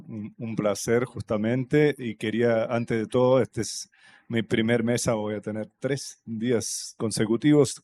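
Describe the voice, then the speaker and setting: Man, Brazilian accent, native Portuguese speaker, mellow tone, halting and reflective, panel guest. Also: on the low side. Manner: thoughtful, halting, with many fillers and restarts. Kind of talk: festival panel talk. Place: stage at a public festival panel.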